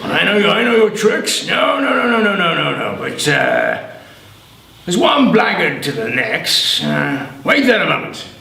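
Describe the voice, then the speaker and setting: A man voicing a pompous, blustering male devil in a told folk tale, declaiming and at times shouting. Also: high-pitched, very animated, unhurried, vocal fry, reverberant, full character voice. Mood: grumpy.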